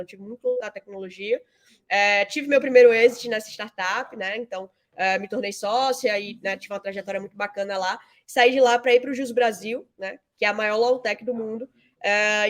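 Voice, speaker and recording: Woman explaining in conversation. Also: fairly high pitch. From Salvador, Bahia, Brazil. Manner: frequent 'né' tags, explanatory. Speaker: interview guest, fintech founder and CEO. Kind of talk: conversational interview answers.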